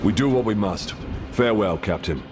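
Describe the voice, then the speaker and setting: A male actor plays a resolute space marine leader in a game cinematic. The voice is deep, solemn and commanding, set against clearly audible music. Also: normal speed, lightly acted. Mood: solemn resolve. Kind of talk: video game cinematic acting.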